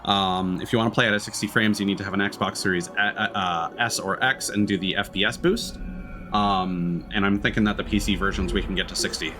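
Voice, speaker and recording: A man's voice: fairly low pitch, steady tone, fairly quick, bright, forceful tone. A man giving casual let's-play commentary as a game streamer, thinking aloud in a hesitant way. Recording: game music behind the voice. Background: video game music.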